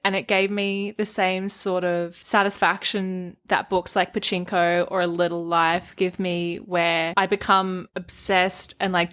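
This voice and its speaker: A woman's voice: on the low side, fairly bright tone. A woman co-hosting a book podcast, chatting casually in a giggly, talkative way.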